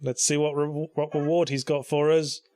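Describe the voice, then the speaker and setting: A man's voice: a little high, level tone, normal speed, mellow, no echo. A man giving sarcastic, joking video game commentary alongside a co-host. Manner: sarcastic, sardonic.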